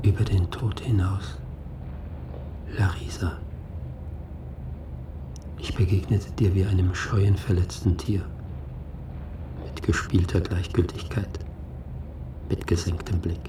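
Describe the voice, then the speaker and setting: An actor playing a tender, grieving lover in a hushed, intimate radio drama monologue. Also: male voice, fairly even intonation, in a whisper. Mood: wistful, grieving. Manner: slow, halting, intimate. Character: grieving lover named Alban, tender, haunted inner monologue. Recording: distant, echoey, loud rumbling noise.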